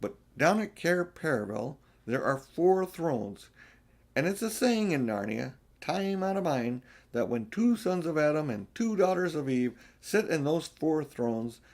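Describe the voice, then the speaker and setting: A man's voice: a little high, animated, a little slow, a little mellow. A man voicing an earnest, cautious male beaver in a storybook, explaining things in an emphatic, folksy way. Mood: grave, cautionary. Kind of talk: storybook character voice.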